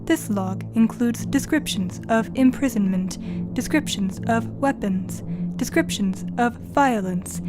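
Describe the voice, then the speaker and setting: A woman announcer reading a scripted notice in a measured, formal way. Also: fairly high pitch, over music. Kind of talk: scripted content warning announcement.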